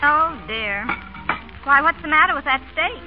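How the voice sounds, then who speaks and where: An actress playing a fretful, scolding but soft-hearted small-town woman in old radio comedy, fussy and plaintive. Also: sits high, expressive, measured pace, over music. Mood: fussing, anxious. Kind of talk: 1940s radio comedy acting. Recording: muffled, hissy old radio broadcast.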